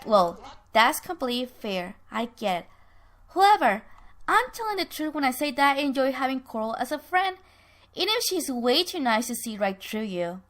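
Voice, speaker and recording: A woman's voice: high-pitched, sing-song, normal speed, a bit bright. A woman voicing a bitter, sarcastic woman who taunts her former lover in a story, with theatrical emphasis.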